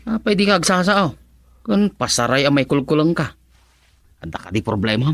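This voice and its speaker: A man's voice: a little high, sing-song, normal speed, fairly bright tone, faint music behind. An actor playing a joking male friend and drinking buddy who teases his pal, in a radio drama. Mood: teasing. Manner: teasing, laughs often.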